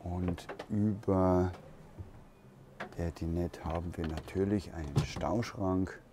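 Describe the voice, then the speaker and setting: A man giving a relaxed, unhurried walkaround tour of a camper van, thinking aloud as he goes, recorded inside the van at a trade fair hall. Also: sits low, background noise.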